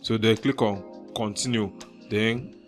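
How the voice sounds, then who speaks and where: A man's voice: fairly low pitch, fairly even intonation, slow pace. Nigerian guy doing a screen tutorial, says "actually" a lot, faint music behind. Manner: chatty, leans heavily on filler words like "so" and "actually". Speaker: tutorial host. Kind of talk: screen-recorded software tutorial.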